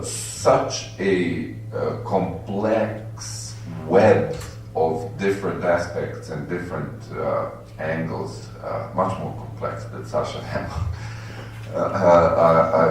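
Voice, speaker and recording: A man speaking as a panelist at a roundtable discussion, halting and earnest as he searches for words. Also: low-pitched. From Bosnia. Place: university auditorium. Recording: echoey hall, distant mic, faint hum.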